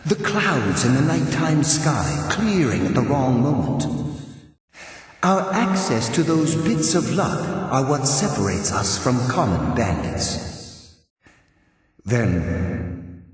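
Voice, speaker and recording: A weary ghostly mentor of thieves speaks out of deep, distant echo. He is earnest and measured, taking his time, with lively intonation. It sounds like fantasy game dialogue. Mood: grateful relief. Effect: ghostly reverb.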